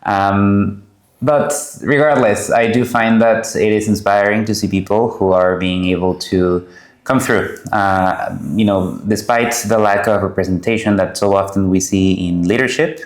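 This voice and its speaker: A very deep-voiced interviewer asks long, wandering questions full of pauses and small jokes. The voice rings out in a roomy, echoey space. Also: fairly even intonation. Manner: rambling, hesitant, joking.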